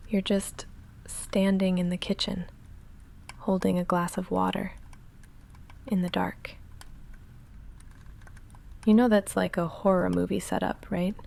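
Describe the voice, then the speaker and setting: Woman as slow, whispery deadpan vampire roommate. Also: medium pitch. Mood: hushed, wry. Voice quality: soft, often whispered, breathy fry. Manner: dry, halting, self-deprecating. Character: young goth vampire roommate, deadpan, awkward, fond. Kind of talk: ASMR roleplay.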